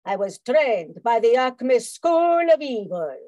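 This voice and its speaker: A woman's voice: on the high side, very animated, takes it slowly, warm tone, no room sound, lo-fi sound. A woman playing a boastful, seductive Martian spy villain with theatrical, sultry delivery in a radio spoof. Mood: boastful, grand. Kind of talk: old-time radio spoof acting.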